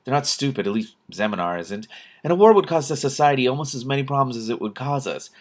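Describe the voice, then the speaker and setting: A man's voice: medium pitch, animated, fast, voice pushed up, close to an everyday voice. A man voicing a puzzled, wry king of a magic forest in a storybook, dry and questioning.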